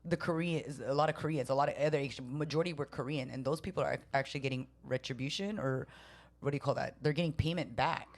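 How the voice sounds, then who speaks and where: A woman's voice: very deep voice, quick pace. A woman raised in San Jose, chatting casually on a podcast, blunt and swearing freely. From raised in San Jose, California. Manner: blunt and swearing, tells stories with lively quoted voices. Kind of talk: casual chat.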